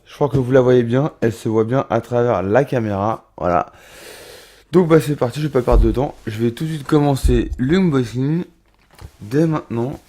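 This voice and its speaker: A man's voice: mid-range voice, moderate pace, warm tone. A man of thirty chatting casually through an unboxing vlog in his home studio, full of fillers and restarts. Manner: chatty, many fillers and restarts, addresses viewers as little rabbits. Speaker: roller skating vlogger.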